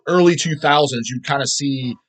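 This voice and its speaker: A man with a mid-pitched voice talks casually as the host of his own video show. He is blunt, opinionated and heavily profane. His delivery rambles, and he repeats words to drive a point home. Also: normal speed. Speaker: host of a video show about underground death metal. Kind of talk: casual rambling opinion vlog, answering viewer comments.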